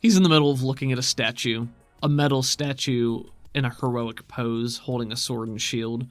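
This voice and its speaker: A man's voice: medium pitch, steady tone, moderate pace, no echo. A man narrating a tabletop roleplaying game as its game master. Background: background music.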